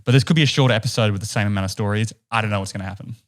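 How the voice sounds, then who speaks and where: A young man with a New Zealand accent spins a story for his podcast co-host. He talks close to a boom mic in a room at home, animated and breaking into small laughs. The tone is casual and chatty. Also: very fast. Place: home room with stone wall, indoors. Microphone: boom studio mic close up.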